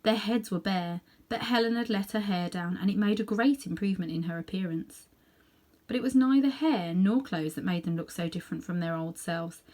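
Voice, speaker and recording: A woman reading a children's book aloud in an animated, dramatic way, sometimes softening toward hushed tones. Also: medium pitch, normal speed. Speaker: storybook reader. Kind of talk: expressive read-aloud narration for children.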